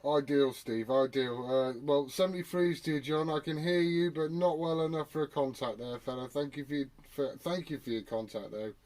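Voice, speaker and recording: A man's voice: fairly high pitch, fairly even intonation, normal speed, hardly any pauses, background noise. A man making casual radio contact as an amateur operator, using radio jargon over a transmission. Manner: radio jargon, spelling out with phonetic alphabet.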